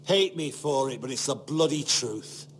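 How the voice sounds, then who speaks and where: Bitter, defiant knight for a fantasy game male actor; scornful, slow-paced, highly expressive. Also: a little hiss or hum, lightly acted. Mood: bitter anger. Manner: scornful, forceful, deliberate. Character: bitter, defiant older castle knight turned traitor. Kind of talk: dramatic video game acting.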